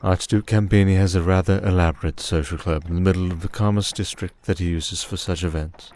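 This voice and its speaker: A man playing a cold vampire archduke and crime lord in an ASMR roleplay, speaking in a measured, formal, condescending way. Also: low-pitched, steady tone, a little mellow, natural voice. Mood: composed, commanding. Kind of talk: ASMR roleplay audio drama.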